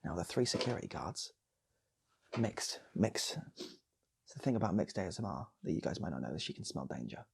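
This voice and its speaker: A man's voice: low-pitched, big pitch swings, moderate pace, gravelly, slightly rough. A British man improvising a comic story, rambling and repeating phrases for effect as he goes. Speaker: channel host and storyteller.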